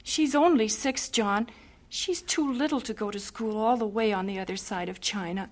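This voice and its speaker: A woman's voice: fairly high pitch, moderate pace, natural voice. A woman playing an anxious, devoted missionary wife and mother in an audio drama. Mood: distressed, weary.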